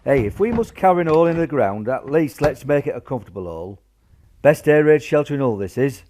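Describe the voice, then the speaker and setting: A man in a radio play acting a gruff, cheery old grandfather, blustery and reassuring. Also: medium pitch, sing-song, brisk, darkish tone, a little hiss or hum, lightly acted. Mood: cheerful bustle. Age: older. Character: gruff, cheery old grandfather, proud wartime shelter builder. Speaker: amateur radio play actor.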